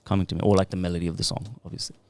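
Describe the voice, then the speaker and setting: A man's voice: low-pitched, little pitch movement, very fast, smooth, dry recording. A man chatting casually as a guest musician explaining his craft, with a laid-back, jokey, slangy way of talking. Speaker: guest talk box musician.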